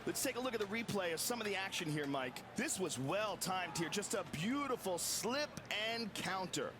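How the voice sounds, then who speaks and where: A man giving measured, admiring scripted replay analysis for a fight video game. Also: high-pitched, very animated, sharp tone, faint music behind. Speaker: color commentator in a fight game.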